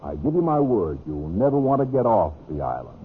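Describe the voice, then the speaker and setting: An actor playing an insistent, falsely friendly American businessman with quiet menace in an old radio drama. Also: lowish voice, sing-song, a little fast. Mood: coaxing. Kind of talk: 1940s-50s radio drama acting. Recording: muffled, hissy vintage radio recording.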